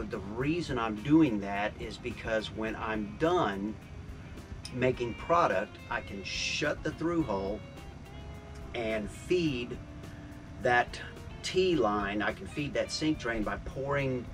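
An older man speaks at an ordinary mid-range pitch as he chats about his gear. His manner is relaxed and upbeat, with easy fillers. He is recorded by a camera a few feet off inside a small boat cabin, and music plays clearly under his voice. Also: slow pace. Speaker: sailing vlogger. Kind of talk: casual vlog update. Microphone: camera on a tripod a few feet away.

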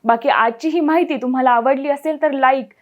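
A woman news presenter, reading a scripted news explainer in a clear, measured way. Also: sits high, lo-fi sound.

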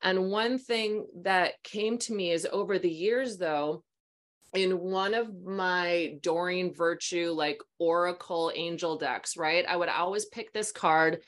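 A woman in her forties hosting a video call from a small room at home, telling stories in an animated, chatty way and acting out voices along the way. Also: fairly low pitch, slow pace. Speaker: host, sound healing practitioner. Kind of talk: casual storytelling on a video call. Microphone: video call webcam mic.

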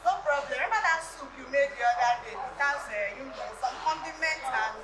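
A woman's voice: sits high, a little fast, over a noisy crowd, close to an everyday voice. A woman playing a bossy scolding mother-in-law. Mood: scolding lecture. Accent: Nigerian Yoruba. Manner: scolding, lecturing, mixing Yoruba. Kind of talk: live stage acting. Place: church hall stage. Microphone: handheld mic. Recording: echoey hall, noisy.

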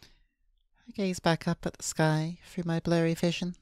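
A woman streamer reading visual novel narration aloud in a subdued, measured way. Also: on the low side, level tone, darkish tone, clear, resonant tone, no room sound. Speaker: VTuber streamer. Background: faint game music.

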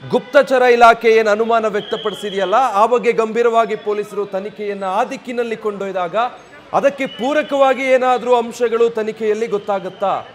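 This is a male news anchor with a notably high-pitched voice. He delivers live breaking-news commentary in a dramatic way. He often builds his points as rhetorical questions. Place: TV news studio.

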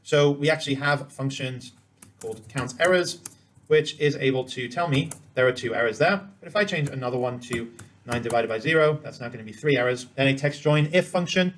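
A man presents an informal software tutorial in a medium-pitched voice. He talks at a brisk clip, walking through each step as he demonstrates it on screen. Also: a little hiss or hum. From Cambodia. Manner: explains step by step while demonstrating.

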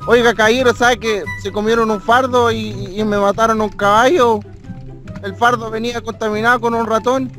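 A man's voice: extremely high pitch, fairly even intonation, a little fast, fairly bright tone. A man voicing a whiny, indignant Chilean peasant farmer in a pleading, rustic way on a prank phone call. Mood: aggrieved. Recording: music behind the voice.

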